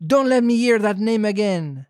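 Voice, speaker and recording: A man voicing a sassy, easily offended mouse with a shrill, irritable French accent in a storybook reading. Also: expressive, normal speed, a little mellow, dry recording, studio quality. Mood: offended, haughty. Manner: irritable, passionate, indignant.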